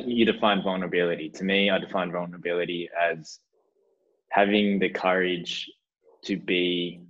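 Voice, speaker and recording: A man co-hosting a casual podcast, thinking aloud in loose, drawn-out phrases with fillers. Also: deep voice, fairly bright tone. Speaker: podcast co-host. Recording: dull lo-fi sound.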